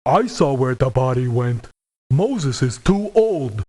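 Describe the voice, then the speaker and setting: A man's voice: mid-range voice, big pitch swings, slow, darkish tone. A man voicing a grumpy, combative video game mercenary who talks in terse, colloquial, confrontational lines. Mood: gruff, defiant. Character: grumpy, combative male mercenary, stubborn and folksy. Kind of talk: video game character voice acting.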